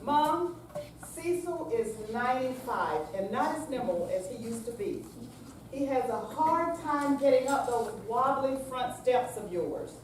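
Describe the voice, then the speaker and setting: A woman playing a patient, coaxing grown daughter in a staged reading, with deliberate, read-out delivery. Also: high voice, lively intonation. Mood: firm, reasoning. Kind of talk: staged readers theater reading. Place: senior center room, staged live reading. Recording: very echoey hall, distant mic, faint noise.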